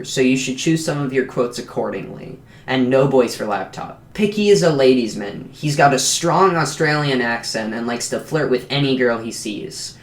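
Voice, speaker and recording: A man reading a scripted explainer announcement as the creator of an animated show. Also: mid-range voice. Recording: roomy, echoing voice recording.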